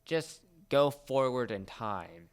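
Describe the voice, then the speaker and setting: A man co-hosting a casual talk show, explaining things haltingly and searching for words. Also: medium pitch, expressive. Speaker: co-host of an entertainment talk show. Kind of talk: casual explanatory chat about anime.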